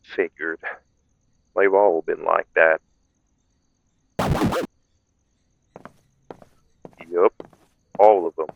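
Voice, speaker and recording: A slightly muffled recording carries a man playing a vampire hunter in an audio drama. His voice is deep and matter-of-fact. He sounds businesslike, worn down and frustrated as he reports. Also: steady tone, takes it slowly, creaky, background noise, heavily acted. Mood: weary, resigned. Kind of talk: audio roleplay acting.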